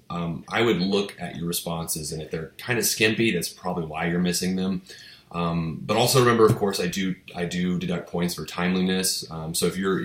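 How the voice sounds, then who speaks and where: Stuffy-nosed man with a low voice. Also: echoey room. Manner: relaxed, chatty, many fillers and restarts. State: stuffy head cold. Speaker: psychology instructor. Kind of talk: casual recorded video lecture. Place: small home office, indoors.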